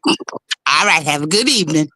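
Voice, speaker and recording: A woman calling into a livestream, teasing the host in a playful, drawn-out way. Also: low voice, expressive, bright, forceful tone, dry recording. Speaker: guest caller on a livestream. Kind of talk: casual chat.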